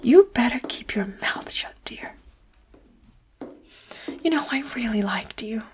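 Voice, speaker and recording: A woman playing a sweet, secretive boss who is a hidden leopard hybrid in a roleplay audio drama, soft and breathy, often slipping into whispers. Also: a little high, lively intonation, slow. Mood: quietly menacing. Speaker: voice actor.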